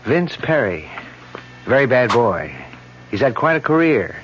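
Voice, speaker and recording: An actor playing a dogged, plain-spoken police detective, speaking in matter-of-fact clipped phrases in an old-time radio mystery. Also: male voice, medium pitch, big pitch swings, measured pace, fairly bright tone, a little hiss or hum. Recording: dull old radio broadcast.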